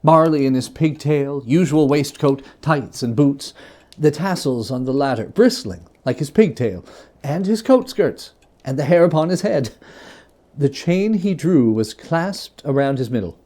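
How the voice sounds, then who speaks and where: A man reading a classic ghost story aloud in a theatrical, expressive manner with emphatic phrasing. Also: fairly high pitch, measured pace. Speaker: reader.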